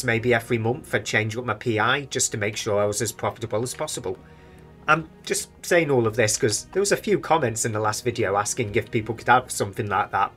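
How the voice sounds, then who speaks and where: Young british guy rambling about game market stats, bright forward voice, bit of music underneath. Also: on the low side. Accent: British English. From Britain. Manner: rambling, chatty, speculative. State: slightly losing his voice. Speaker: gaming market commentator. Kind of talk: casual commentary. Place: small home room indoors. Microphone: desk microphone near face.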